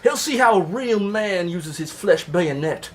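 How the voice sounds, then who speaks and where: Man playing a high-voiced, folksy Confederate soldier. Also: lively intonation, unhurried, slight background noise. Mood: giddy boastful pride. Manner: folksy, old-timey, florid. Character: lewd, boastful Confederate soldier husband writing home. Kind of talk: comedic live reading of love letters.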